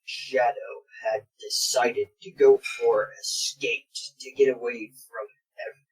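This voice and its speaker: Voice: mid-range voice, steady tone, slow pace, husky, low-quality recording. A reader casually explaining and narrating fan fiction to a group of friends. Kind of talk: casual explaining and read-aloud.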